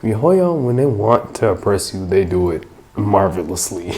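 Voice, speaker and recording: A man's voice: on the low side, big pitch swings, normal speed, a little hiss or hum. A man giving casual, slangy reaction commentary while playing through a game. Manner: slangy, awed exclamations. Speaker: gamer reacting to a story quest. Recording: loud game music behind the voice. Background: game music.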